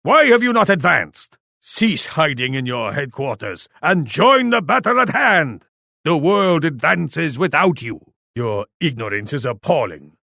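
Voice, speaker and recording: An actor playing a proud, ruthless Japanese warlord in a video game, speaking in an imperious, formal manner. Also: male voice, fairly high pitch, very animated, measured pace, vocal fry, bright, forceful tone, dry recording, clean studio sound, full character voice. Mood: haughty, scornful. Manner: imperious, formal, speaks of himself in third person. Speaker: video game faction leader. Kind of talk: scripted video game voice lines.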